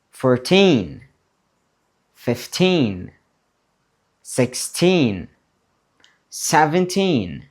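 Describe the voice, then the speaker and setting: A male teacher drills beginners word by word. He speaks very slowly, leaving long pauses, and his pitch rises and falls with lively, encouraging swings. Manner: slow, deliberate, repeats words for learners to copy. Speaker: English teacher. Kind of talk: slow beginner English lesson, drilling words.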